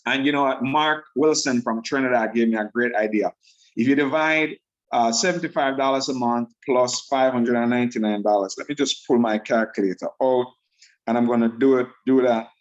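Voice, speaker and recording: A man with a Jamaican accent talks quickly and eagerly, stacking up figures and repeating key words. His voice sits at an ordinary middle pitch. It comes through a dull, lo-fi video call line. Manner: fast-talking, enthusiastic, repeats for emphasis. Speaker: online sales presenter.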